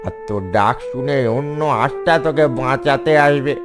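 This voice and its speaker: A man's voice: medium pitch, moderate pace, natural voice. A man voicing a cunning, cruel fox in a cartoon, with a menacing, gloating manner. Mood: scheming. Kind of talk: cartoon villain dialogue. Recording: music behind the voice.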